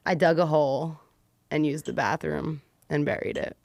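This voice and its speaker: Slangy woman with a lowish voice. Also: steady tone, normal speed, gravelly, darkish tone, no room sound, clean studio sound. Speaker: podcast guest, content creator. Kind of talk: casual chat.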